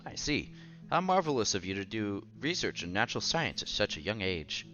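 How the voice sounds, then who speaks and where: A man acts out an effusive scholarly gentleman from a visual novel. He speaks formally and flatteringly, in a bright, forceful voice over music, with slightly dull sound. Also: lowish voice, normal speed. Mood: effusive, courteous. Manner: formal, polite, eager to flatter.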